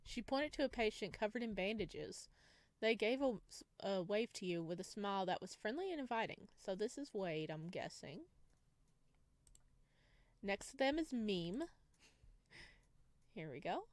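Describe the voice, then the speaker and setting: A woman's voice: mid-range voice, animated, a little slow, long pauses, dry recording. A woman reading a visual novel game aloud in a casual way, adding her own playful asides. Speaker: gaming video creator reading and playing a visual novel.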